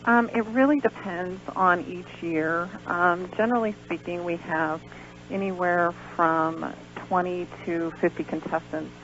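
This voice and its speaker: A woman's voice: lowish voice, fairly even intonation, measured pace, a bit bright. An American woman explaining things as a guest in a radio interview, in a hesitant, explanatory way. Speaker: guest, pageant organizer. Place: radio show. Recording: dull lo-fi radio recording with hum, noise.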